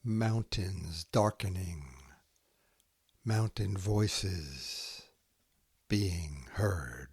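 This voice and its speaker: A man reciting short poems as a host, in a measured, deliberate way with short, spare phrases. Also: low voice, a little mellow, slightly rough. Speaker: podcast host reading poetry. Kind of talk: slow, spare haiku recitation.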